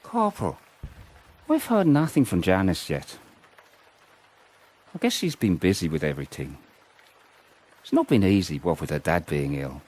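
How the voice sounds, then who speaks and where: An actor playing a roguish, lovelorn soldier in a First World War radio drama, with a very animated delivery. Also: male voice, low-pitched, normal speed, pauses often. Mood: anxious, wistful. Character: roguish, lovelorn Irish soldier in WW1 trenches.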